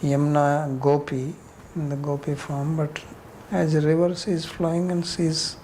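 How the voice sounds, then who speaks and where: A man's voice: a little high, level tone, moderate pace, mellow, slight background noise. A man with an Indian accent leading an informal class discussion as a spiritual teacher, answering questions bluntly and challengingly with rhetorical questions.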